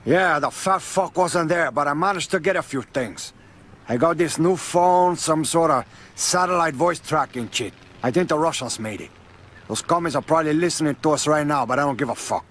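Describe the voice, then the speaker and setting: A man's voice: a little high, moderate pace, fairly bright tone, slight background noise, lightly acted. An actor playing a brash, hot-tempered male drug lord in a video game cutscene, with a profane, swaggering way of talking. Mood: cocky. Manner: swaggering, profane, cursing constantly.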